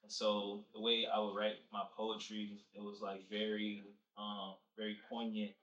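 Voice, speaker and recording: A man's voice: fairly low pitch, completely flat delivery, measured pace, fairly bright tone, highs cut off. Laid-back young man, distant echoey mic. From Nigeria, raised in New Jersey. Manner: laid-back, slangy, laughs easily. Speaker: rapper guest. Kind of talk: casual interview chat. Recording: distant mic, roomy echo.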